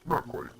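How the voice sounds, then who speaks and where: A young man casually chatting while streaming a game from home, reacting out loud to the game and to chat. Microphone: laptop microphone.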